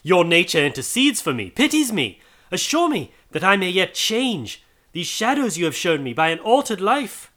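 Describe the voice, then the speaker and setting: A trembling old miser pleads in a strained, quavering voice that climbs high. A man acts him out in an audiobook. His speech swings widely in pitch, with a sharp, bright edge. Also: lively intonation, normal speed. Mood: imploring. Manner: emotional, theatrical delivery. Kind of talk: audiobook character voice.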